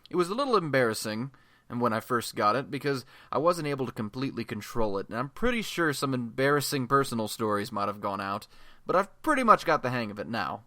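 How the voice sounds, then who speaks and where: A man's voice: medium pitch, pushed and bright, no echo. A man playing a chatty, self-deprecating young American amateur radio blogcaster in a superhero radio play, talking in an informal, wisecracking podcast style. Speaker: radio show host.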